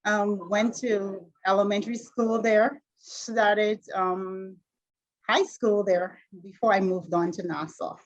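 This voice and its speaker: Bahamian lady talking slow and halting, lots of ums, kinda dull muffled audio. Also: medium pitch, fairly even intonation. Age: middle-aged. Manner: halting, many fillers and restarts. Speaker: interview guest, retired sprinter. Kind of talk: casual interview answers.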